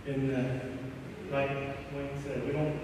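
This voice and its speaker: A man in his thirties delivers a eulogy from a church lectern, his voice flat and halting with emotion. The sound is heavily echoey with a long reverb tail, picked up from far off with faint room ambience behind. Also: medium pitch, noisy background. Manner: halting and emotional, trailing off at line ends. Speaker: son giving a eulogy. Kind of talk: reading a memorial poem, then personal eulogy. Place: church sanctuary, speaking at a lectern. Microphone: lectern microphone, recorded from a distant camera.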